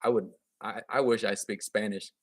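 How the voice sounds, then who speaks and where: A man in his thirties speaks in a fairly low voice with a slight Vietnamese accent. He chats with viewers in a relaxed, upbeat way, often restarting his sentences. He talks into a webcam in a room at home, and the sound is dry and close. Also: normal speed, no echo. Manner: chatty and upbeat, frequent restarts and chuckles. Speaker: gardening channel host. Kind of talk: casual live stream chat answering viewer comments.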